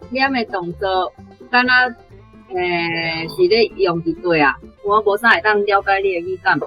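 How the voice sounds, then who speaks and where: A woman's voice: medium pitch, moderate pace, muffled recording, soft background music. A woman with a Taiwanese accent giving unscripted explanations and corrections as a language teacher.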